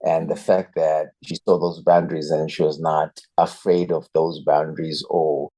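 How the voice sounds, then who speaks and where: A man's voice: a bass voice, little pitch movement, normal speed, mellow, slightly rough. A man, a youth pastor telling his story earnestly and reflectively as a podcast guest. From Zimbabwe. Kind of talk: podcast interview, personal story.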